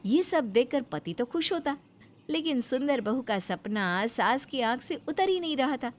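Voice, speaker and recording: A woman's voice: sits high, lively intonation, a little slow, slight background noise. A woman narrating a scripted Hindi family moral story as a storyteller.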